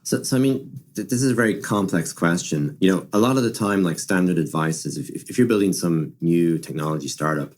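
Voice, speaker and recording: A man's voice: low voice, fairly quick, few pauses. A man speaking as an expert podcast guest, explaining conversationally and often repeating and rephrasing with plenty of "like" and "you know". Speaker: podcast guest, machine learning director. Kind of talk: conversational product and technology discussion.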